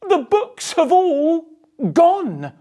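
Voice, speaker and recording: A woman's voice: extremely high pitch, wildly swooping pitch, drawn-out words, mellow, pitched above the performer's own voice, exaggerated voice. A woman voicing an alarmed, indignant schoolboy in a children's story, crying out urgently.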